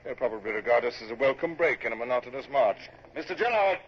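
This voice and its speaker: Commanding British naval captain, vintage radio. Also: male voice, mid-range voice, expressive, fast talker, breathy edge. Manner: clipped, authoritative, formal. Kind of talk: radio drama acting and first-person narration. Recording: dull, noisy vintage radio recording.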